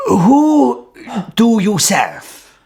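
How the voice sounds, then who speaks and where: An actor playing a shrewd, imperious medieval sultan in a historical radio drama, measured and questioning. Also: male voice, high voice, wildly swooping pitch, vocal fry, darkish tone, full character voice. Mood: probing, courteous.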